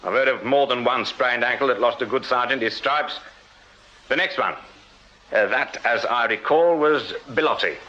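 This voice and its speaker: An actor playing a shrewd Victorian detective sergeant with an English accent, dry, clipped and authoritative in a radio drama. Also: male voice, mid-range voice, moderate pace, bright, forceful tone, natural voice. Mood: sardonic. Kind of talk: British period radio drama acting. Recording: slightly dull, hissy radio-drama recording.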